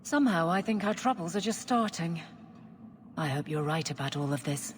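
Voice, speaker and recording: Actress as a deep-voiced woman guard captain. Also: expressive, moderate pace, a little hiss or hum, close to an everyday voice. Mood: wry, wary. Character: blunt, dutiful woman guard captain, wry and wary. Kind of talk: dramatic video game cutscene acting. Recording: long reverb, game music behind.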